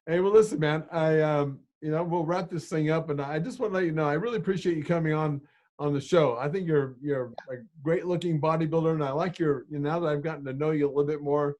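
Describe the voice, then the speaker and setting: A man's voice: a little high, steady tone, fast, darkish tone. Blunt older man, rambling podcast host. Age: 60s. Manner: blunt, swears freely, rambling. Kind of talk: casual interview chat.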